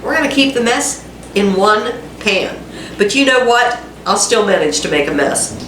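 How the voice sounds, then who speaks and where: A woman's voice: medium pitch, expressive, moderate pace, fairly bright tone, a little hiss or hum. Stuffy-voiced woman chatting through a recipe. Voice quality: congested and nasal. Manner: chatty, self-deprecating asides to viewers. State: stuffy voice from a head cold. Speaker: cooking channel host. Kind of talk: casual home cooking demonstration. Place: home kitchen. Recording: echoey kitchen, mic at a distance. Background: frying sizzle and a dishwasher running.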